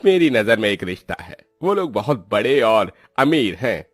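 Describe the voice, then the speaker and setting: A man's voice: lowish voice, sing-song, moderate pace, no room sound, clean studio sound, soft background music. A man playing a kindly older priest in a cartoon story, with an avuncular, encouraging manner. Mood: approving, persuasive.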